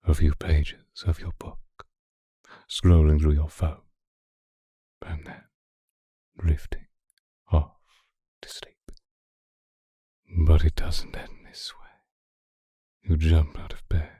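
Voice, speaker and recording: Man playing a very deep, breathy seductive vampire. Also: completely flat delivery, no room sound, heavily acted. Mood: brooding, intimate. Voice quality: very deep, breathy, husky, often whispering. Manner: slow, deliberate, hushed, formal wording. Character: seductive male vampire stalker, obsessive and predatory. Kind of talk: ASMR roleplay monologue, intimate and close. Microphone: close microphone, dry sound.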